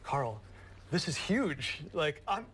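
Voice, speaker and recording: A man's voice: fairly high pitch, sing-song, slow, fairly bright tone, slight background noise. A man in his thirties from Michigan with an American accent, chatting informally as a musician guest in a studio interview. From Michigan, United States. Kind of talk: casual interview chat.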